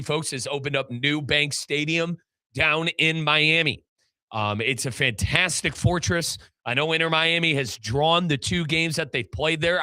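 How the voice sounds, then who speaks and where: A man hosts a sports talk show with a bright, punchy voice pitched a bit high. He delivers opinionated soccer analysis emphatically. The sound is dry and close. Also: no echo.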